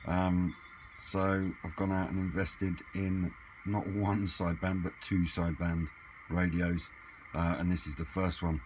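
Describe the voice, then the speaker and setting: Low-voiced man, noisy recording. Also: little pitch movement, measured pace, few pauses, a little mellow. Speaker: hobbyist vlogger. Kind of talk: casual chat, off-the-cuff equipment vlog. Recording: clearly noisy amateur camera recording.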